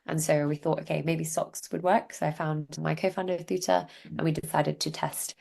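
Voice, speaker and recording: A woman's voice: sits low, level tone, moderate pace, creaky, darkish tone. A young woman from Hong Kong, a founder being interviewed, telling her story in a chatty way with many filler words. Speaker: guest, social enterprise founder.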